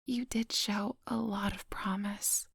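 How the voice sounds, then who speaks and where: A woman playing a coolly menacing, coaxing vampire hypnotist in a soft whispered ASMR roleplay, close to the microphone. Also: medium pitch, steady tone, vocal fry, breathy edge, no echo, heavily acted. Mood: mock pity, menacing. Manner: slow, coaxing, hypnotic instructions. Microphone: close microphone, dry.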